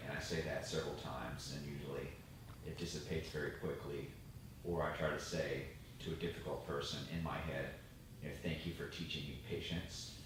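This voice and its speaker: Man, extremely low pitch, hesitant thoughtful sharing, group participant, heavy echo, noisy recording. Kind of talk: personal sharing in a group discussion. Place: group meditation meeting. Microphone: distant room microphone. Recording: echoey, noisy room from far off.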